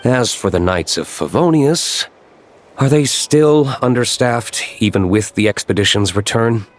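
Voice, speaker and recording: A man's voice: mid-range voice, animated, unhurried, close to an everyday voice. A man voicing a cool, curt, reserved young nobleman in a game cutscene. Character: cool aloof male nobleman, winery owner.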